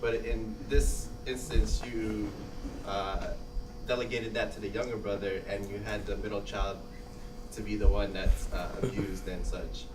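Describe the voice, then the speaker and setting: A man in the audience asking a question, halting and losing his words partway. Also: lowish voice, flat delivery, background noise. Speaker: audience member. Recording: distant roomy audience mic in hall.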